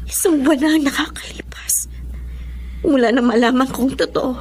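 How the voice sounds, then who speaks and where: A woman's voice: sits high, normal speed, a little hiss or hum, lightly acted. An actress playing a confused young woman in a radio drama, speaking tearfully. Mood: troubled. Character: confused young woman Pearl, grieving daughter. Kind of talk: Tagalog radio drama acting.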